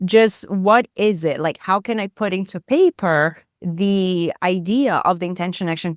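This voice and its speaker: Brazilian-accented woman, smooth low voice. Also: no echo, clean studio sound. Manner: thoughtful, explanatory, many fillers. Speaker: psychotherapist guest. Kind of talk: interview conversation.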